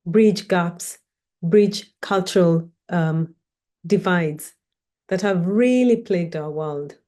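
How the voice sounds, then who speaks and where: Woman, mellow voice. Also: medium pitch. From Kenya. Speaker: academic researcher and guest speaker. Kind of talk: personal introductory talk, storytelling.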